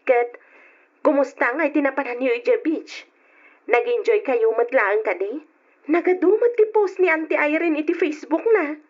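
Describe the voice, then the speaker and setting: High-voiced, nosy Ilocano cousin. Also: female voice, expressive, normal speed. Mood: nosy, friendly. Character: chatty, nosy, teasing young female cousin. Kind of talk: radio drama acting. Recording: muffled old radio drama.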